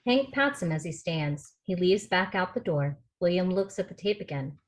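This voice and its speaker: Woman with a lowish voice reading script directions on a video call table read. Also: animated, moderate pace, poor audio. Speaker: stage directions reader.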